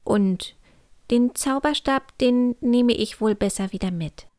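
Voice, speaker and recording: Woman as a high-voiced, chiding moss elf. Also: slow pace, darkish tone, no echo, studio quality. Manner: chiding, chanting spells in singsong. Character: female moss elf, gently chiding, sensible magic-worker. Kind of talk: children's storybook character voice.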